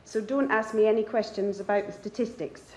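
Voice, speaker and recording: A woman's voice: medium pitch, steady tone, fairly quick, a little mellow, slight background noise. A woman, a nature conservationist, giving a conference talk in a chatty, self-deprecating way. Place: lecture hall. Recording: roomy hall sound.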